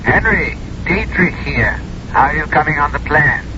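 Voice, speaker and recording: A courteous caller in a vintage radio play. His rather high voice is inviting and persuasive. The sound is dull, with clear background noise. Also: animated, normal speed, bright, forceful tone. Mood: coaxing. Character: courteous, persuasive man named Dietrich on the telephone. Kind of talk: 1970s radio drama acting. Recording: muffled, noisy old radio recording.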